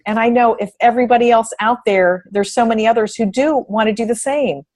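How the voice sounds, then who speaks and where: A woman's voice: fairly high pitch, brisk, darkish tone. A woman panelist making casual, unscripted remarks, effusive and repeating phrases for emphasis.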